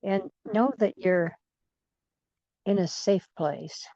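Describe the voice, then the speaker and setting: A woman leading guided imagery in a soothing, gentle manner, leaving long pauses between phrases. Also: medium pitch, no echo, lo-fi sound. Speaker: guided meditation leader.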